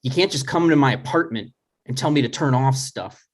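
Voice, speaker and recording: A man's voice: medium pitch, expressive, brisk, lightly acted. A man playing a dry, exasperated, reluctant adult son in a comedy table read, hesitant and natural in delivery. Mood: irritated.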